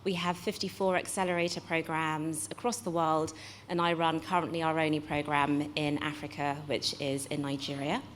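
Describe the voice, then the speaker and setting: A woman's voice: sits low, flat delivery, normal speed, a little hiss or hum. A British woman in her forties, a guest speaker, explaining in a chatty way and correcting herself as she goes. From United Kingdom. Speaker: guest speaker, accelerator managing director. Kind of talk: fireside chat on a panel stage.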